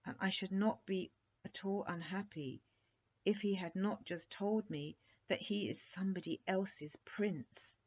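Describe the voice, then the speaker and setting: A sensitive little storybook princess played by a woman speaks emphatically, with childish dignity. Her voice is pitched high, on a dull-sounding recording. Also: a little slow, darkish tone, breathy edge. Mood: sad.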